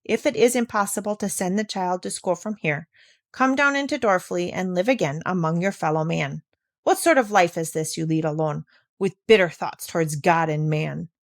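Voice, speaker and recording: A woman's voice: fairly low pitch, moderate pace, dry recording, studio quality, lightly acted. Woman reading as an earnest old village pastor. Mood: earnest, conciliatory. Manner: earnest, reproachful, formal.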